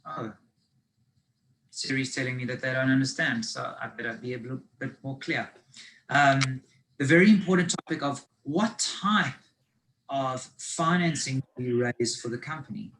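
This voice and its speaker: A man's voice: medium pitch, normal speed, fairly bright tone, slight background noise, rough recording. South African man presenting on a video call. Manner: conversational and explanatory, with frequent ums and restarts. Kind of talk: live online business finance presentation.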